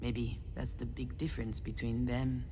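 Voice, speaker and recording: An actress playing a failed screenwriter, a cynical, melancholic loner, in a measured, confiding, wry game monologue. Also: female voice, rumbling low, flat delivery, noisy background. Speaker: narrator protagonist of a visual novel. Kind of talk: introspective scripted monologue.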